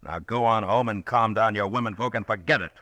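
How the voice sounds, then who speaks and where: An actor playing a calm, stern frontier marshal in a 1950s radio drama, speaking steadily and firmly. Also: male voice, fairly low pitch, brisk, no room sound. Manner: steady, level-headed, firm.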